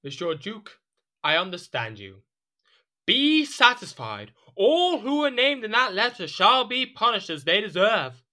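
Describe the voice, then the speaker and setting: A man's voice: high voice, sing-song, unhurried, sharp tone, exaggerated voice. An actor playing a petulant, haughty and easily swayed king in an audio drama. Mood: haughty, aggrieved. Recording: music behind the voice.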